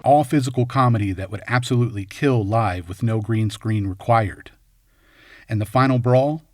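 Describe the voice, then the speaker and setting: A man hosts a video essay in a mid-pitched voice with a dry, close sound. He reads scripted analysis in measured, punchy phrases. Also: no echo. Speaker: video essay host and narrator. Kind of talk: scripted film-analysis narration.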